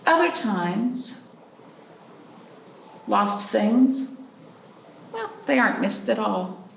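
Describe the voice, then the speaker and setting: Female storytime host, lively intonation, some vocal fry, question-and-pause delivery for little ones; distant mic with faint noise. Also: on the high side, echoey room. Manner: prompting listeners with questions and pauses; expressive, drawn-out reading of story phrases. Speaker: children's librarian hosting storytime. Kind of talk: read-aloud storytime for young children.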